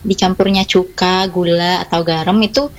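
Relaxed woman explaining her food business. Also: lowish voice, normal speed. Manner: relaxed, explains plainly with fillers and tag words. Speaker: small food business owner, guest. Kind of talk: casual radio interview.

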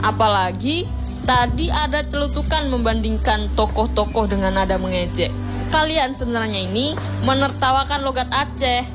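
An actress playing a firm Javanese lecturer. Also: female voice, on the high side, lightly acted. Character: caring female lecturer, firm and principled.

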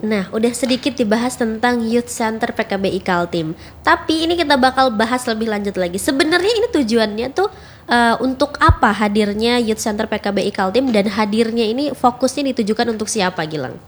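High-voiced woman radio host. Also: fairly quick. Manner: informal, mixes in English slang. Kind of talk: casual radio interview. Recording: noticeable background ambient noise.

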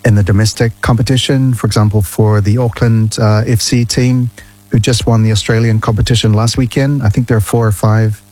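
A man answers interview questions in a radio studio. His voice is on the lower side and his delivery is measured and friendly. He explains things at length in full sentences. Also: darkish tone, poor audio. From New Zealand. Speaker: ambassador guest.

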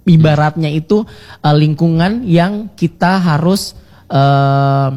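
A man's voice: a little high, takes it slowly, darkish tone. A man from Indonesia speaking conversationally as an interview guest on the radio. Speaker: modeling agency manager, interview guest.

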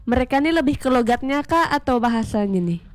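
A woman's voice: high voice, expressive, a little fast, no echo. A woman hosting a casual radio chat, talking chattily and speaking straight to her listeners. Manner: chatty, addresses listeners directly. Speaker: radio host.

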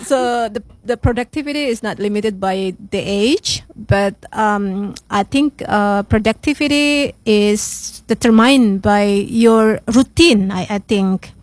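A woman with an Indonesian accent, a radio guest and English teacher, speaking in halting English with many restarts and fillers, and giggling often. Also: mid-range voice, no room sound. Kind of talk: casual radio chat.

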